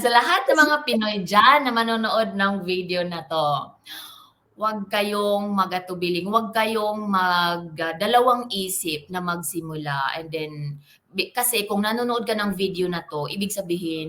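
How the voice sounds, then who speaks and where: A woman with a Filipino accent, a guest coach giving animated motivational talk on a video call. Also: medium pitch, normal speed. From Philippines. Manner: animated, switching between English and Tagalog.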